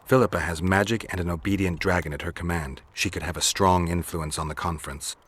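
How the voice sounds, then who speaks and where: A man acting a stoic, battle-worn monster hunter in a video game, terse and deadpan in delivery. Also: sits low, a little fast, husky. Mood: grim, measured. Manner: deadpan, terse, matter-of-fact.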